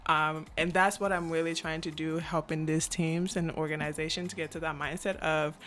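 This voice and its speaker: A woman's voice: deep voice, level tone, moderate pace, a bit bright, slight background noise. Woman from Cameroon, chatty podcast guest. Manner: reflective, frequent "right?" and "um" fillers. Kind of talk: casual interview chat.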